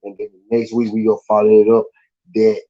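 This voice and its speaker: A man's voice: fairly low pitch, completely flat delivery, brisk, highs cut off. A man with a Southern US accent hosting a live stream, chatting casually in a laid-back, slangy, rambling way with lots of fillers. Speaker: podcast host.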